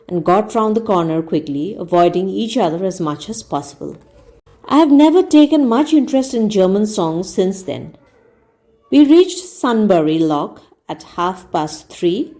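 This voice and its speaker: A woman narrates a comic novel in a mellow, relaxed voice at a medium pitch. She reads in a measured storytelling style, made for listeners to follow along, and leans expressively on key words. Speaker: storyteller reading a book aloud.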